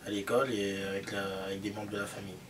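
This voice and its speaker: A man's voice: lowish voice, little pitch movement, quick pace, fairly bright tone. A man in his late twenties whose first language is Creole, giving short, plain interview answers. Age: late 20s. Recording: distant mic with background noise.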